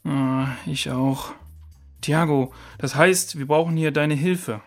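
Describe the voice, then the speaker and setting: Young crew leader, lightish high voice, skeptical, asks straight questions, kids radio play. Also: male voice, fairly even intonation, takes it slowly, creaky, soft background music. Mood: concerned. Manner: questioning, purposeful. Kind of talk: children's audio drama acting.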